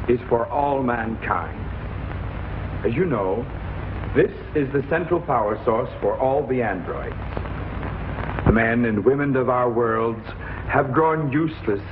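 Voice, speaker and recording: An actor playing a grave, formal king in a 1950s television drama, speaking in measured phrases. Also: medium pitch, noisy background, lightly acted. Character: solemn king addressing delegates of the planets. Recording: tinny, band-limited 1950s TV soundtrack.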